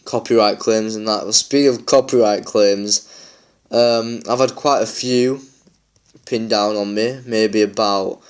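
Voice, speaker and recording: A man talks loosely over his gameplay, his voice a little low and worn croaky from a long session. He rambles with plenty of fillers, friendly and unscripted. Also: fairly even intonation, a little slow. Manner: rambling, chatty, many fillers. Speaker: gaming YouTuber. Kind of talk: casual gameplay chat.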